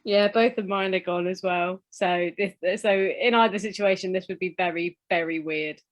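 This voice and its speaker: A woman's voice: fairly low pitch, steady tone, moderate pace. A woman in a casual chat, skeptical and teasing, laughing often. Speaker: podcast co-host.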